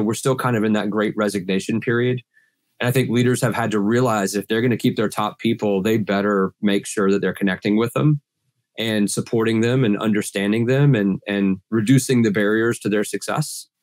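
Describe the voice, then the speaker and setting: Mid-aged guy on a video call, bit scratchy, lowish voice, dry sound, keeps restarting his sentences. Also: steady tone, fairly quick, a little mellow, no room sound. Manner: earnest, many restarts and repeated words. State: scratchy voice from pollen. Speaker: guest sales trainer. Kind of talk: podcast interview answers.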